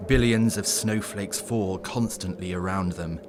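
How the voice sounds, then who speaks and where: A man narrating a scripted horror story in an ominous, measured storytelling manner. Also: deep voice, noisy background.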